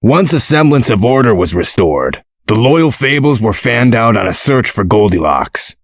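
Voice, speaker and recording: A man playing a gruff, protective male character in a comic dub, speaking in a measured, dry, explanatory way. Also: mid-range voice, sing-song, dry recording. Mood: calm, explanatory.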